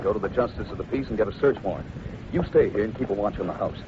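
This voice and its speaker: A dull, noisy old radio recording carries a calm Mountie sergeant played by a man. His mid-range voice moves quickly, firm and official, in classic western serial style. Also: lowish voice, close to an everyday voice. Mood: resolute. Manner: measured wording, firm, official. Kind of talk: 1950s radio western acting. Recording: noisy, band-limited vintage radio recording.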